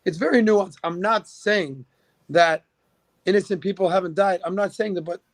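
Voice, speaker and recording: High-voiced gravelly man arguing with numbers. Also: moderate pace, no room sound. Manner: opinionated, argues with numbers, many fillers. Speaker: podcast guest. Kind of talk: casual political chat.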